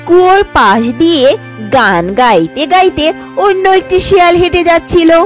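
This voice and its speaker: A woman's voice: very high voice, lively intonation, unhurried, faint music behind. A woman narrating a scripted children's story as storyteller.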